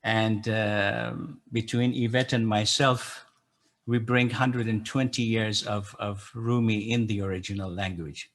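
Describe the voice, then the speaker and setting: An older man, a native Persian speaker, giving an informal explanatory talk as an educator in a halting, reflective way. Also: lowish voice, steady tone, a bit bright. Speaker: educator and translator leading a poetry gathering.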